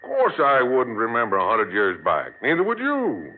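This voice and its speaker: An actor playing a curious, talkative rural gas station attendant in an old radio drama, folksy and plain-spoken. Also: male voice, mid-range voice, huge pitch swings, moderate pace, a little hiss or hum. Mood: puzzled, curious. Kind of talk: 1940s radio drama acting. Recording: tinny old radio recording.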